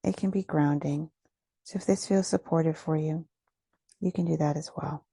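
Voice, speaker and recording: A woman leading a guided meditation, giving gentle, soothing instructions with unhurried phrasing and pauses. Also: deep voice, fairly even intonation, creaky, husky, dry recording.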